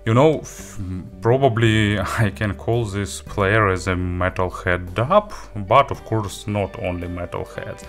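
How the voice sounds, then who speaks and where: A man giving a casual spoken product review as an audio gear reviewer, in a halting way with frequent filler words. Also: fairly low pitch, a bit bright, soft background music.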